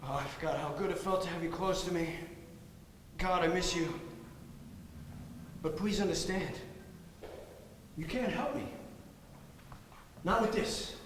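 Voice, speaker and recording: Actor as a high-pitched haunted man, echoing stage. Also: unhurried, pauses often. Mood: pained, tender. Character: paranoid, haunted man on the run, with gun. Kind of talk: amateur stage drama. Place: theatre stage during a live play. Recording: very echoey stage hall, faint hiss.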